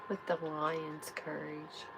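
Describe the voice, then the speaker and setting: A woman hosting a live tarot reading, giving spiritual guidance in a soft, soothing way. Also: low-pitched, completely flat delivery, background noise. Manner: soft, unhurried, soothing affirmations.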